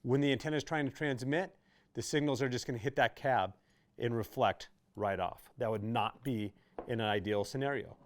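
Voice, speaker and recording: A man hosts an informal instructional video in a medium-pitched voice. He explains equipment step by step in a conversational manner, as if talking a customer through the options. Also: moderate pace. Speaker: host of a radio gear how-to video.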